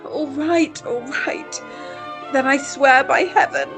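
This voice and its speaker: A woman performs a trapped young heroine of Victorian radio melodrama. Her high voice is slow, formal and tremulous, full of anguish and wounded pride. Faint music runs underneath. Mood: pleading, broken. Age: young adult. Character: virtuous young heiress, trapped, anguished and proud. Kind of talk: old-time radio melodrama acting.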